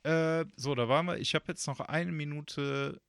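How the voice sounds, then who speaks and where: Creaky-voiced man hosting a chatty podcast. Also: fairly high pitch, fairly even intonation, normal speed, a bit bright, dry recording, studio quality. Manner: rambling, joking, full of fillers. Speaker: podcast host. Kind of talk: casual chat.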